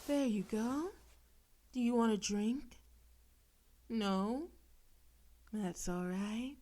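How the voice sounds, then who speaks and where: A woman's voice: on the high side, warm tone. A woman playing a possessive, demon-possessed girlfriend in an intimate audio roleplay, sweetly condescending and teasing with veiled menace. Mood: coaxing, feigned sweetness. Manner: slow, coaxing, teasing, with pet names and veiled threats.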